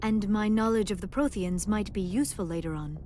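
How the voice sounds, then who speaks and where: An actress playing a young alien scientist in a video game, earnest and polite, with formal, precise speech. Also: female voice, mid-range voice, fairly quick, background noise, natural voice. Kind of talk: video game dialogue acting.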